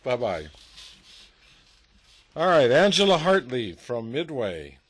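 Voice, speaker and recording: A man's voice: medium pitch, big pitch swings, slow, fairly bright tone. A man hosting a casual radio interview, joking and chatty with frequent fillers. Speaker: radio host.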